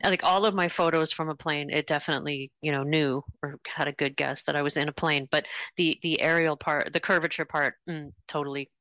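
A woman's voice: deep voice, steady tone, a little fast, hardly any pauses, fairly bright tone, dry recording. A woman in casual conversation, thinking aloud in a thoughtful way as a guest on a talk show.

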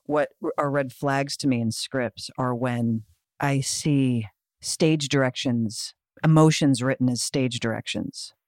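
A woman's voice: rumbling low, fairly even intonation, measured pace, darkish tone, no echo, clean studio sound. A woman co-hosting a podcast in casual chat, teasing and agreeable. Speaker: podcast co-host, actress.

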